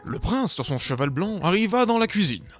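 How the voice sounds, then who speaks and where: A man's voice: high-pitched, big pitch swings, normal speed, soft background music. A man narrating a cartoon fairy tale in a mock-solemn, playful way. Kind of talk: storybook narration.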